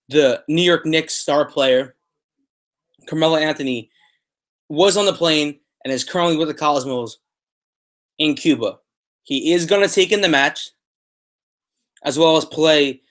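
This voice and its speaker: A man's voice: on the high side, a bit bright. A young man hosting a soccer podcast, talking unscripted to a webcam in a room at home and repeating phrases for emphasis. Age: young adult. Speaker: sports podcast host. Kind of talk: unscripted soccer commentary to camera. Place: small room at home, indoors. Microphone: webcam or laptop at arm's length.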